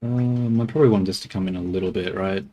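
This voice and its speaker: A man's voice: sits low, fairly even intonation, fast talker, mellow, soft background music. A man streaming as he models a 3D character, talking to himself and his chat in a joking, muttering way. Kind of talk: casual live commentary while working.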